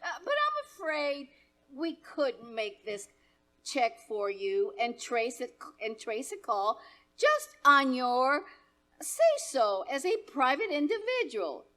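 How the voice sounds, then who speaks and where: A woman playing a cautious, official chief telephone operator in a live staging of an old-time radio play, measured and a little hesitant. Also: sits high, very animated, fairly bright tone, exaggerated voice. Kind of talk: live radio play staged as 1930s radio acting.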